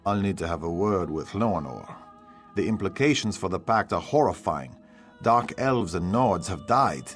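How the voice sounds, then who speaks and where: A shrewd noble counselor in a fantasy game. His voice is low and grave, with deliberate, formal phrasing over soft music. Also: lively intonation. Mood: grave, urgent. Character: shrewd, grave lordly male advisor to a jarl. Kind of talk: video game dialogue acting.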